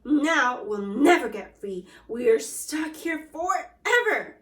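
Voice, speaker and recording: A woman's voice: sits high, sing-song, takes it slowly, echoey room, full character voice. A woman reading as a nasty old woman. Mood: angry.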